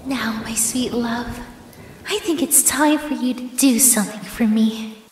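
A woman's voice: fairly high pitch, a little slow, slight background noise, close to an everyday voice. A woman playing a menacing, possessive yandere ex-girlfriend in an ASMR horror roleplay, with sugary endearments and theatrical commands. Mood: sweetly possessive. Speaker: voice actress in audio roleplay. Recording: echoey, long hall-like reverb.

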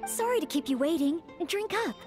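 A woman voicing a polite, earnest young maid in a video game, formal and gentle in manner. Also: high voice, animated, fairly quick, music in the background. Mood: courteous, eager. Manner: formal, courteous, gentle, dutiful. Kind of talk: scripted video game voice acting.

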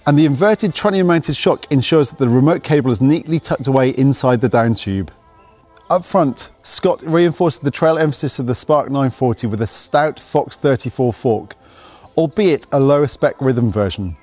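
A young man narrating a scripted mountain bike review in a brisk, technical, matter-of-fact way, speaking outdoors in woodland on a lapel mic. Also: medium pitch, a little mellow. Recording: faint music underneath.

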